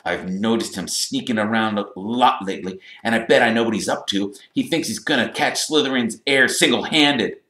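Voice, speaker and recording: An arrogant schoolboy bully acted by a man with a sneering drawl, pitched above an adult man's talk. It is a scornful storybook character heard in an echoey room. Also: normal speed, hardly any pauses, fairly bright tone, far from the mic, close to an everyday voice.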